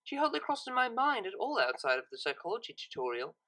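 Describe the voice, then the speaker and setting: A woman's voice: mid-range voice, big pitch swings, fast talker, sharp tone, pitched above the performer's own voice. A woman voicing a refined young cartoon mare who speaks formally and with cool disapproval. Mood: cool, indignant. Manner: formal, articulate, lecturing.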